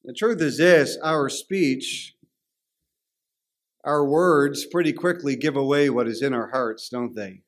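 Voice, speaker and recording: A man's voice: medium pitch, slow. A man with a Canadian accent preaching a sermon in a conversational, storytelling way. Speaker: pastor.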